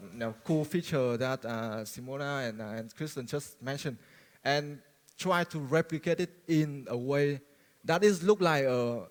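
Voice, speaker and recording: A man from Vietnam, giving a friendly technical conference talk, halting and full of fillers and restarts. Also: mid-range voice. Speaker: conference speaker. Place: large conference hall. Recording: long reverb of a large hall.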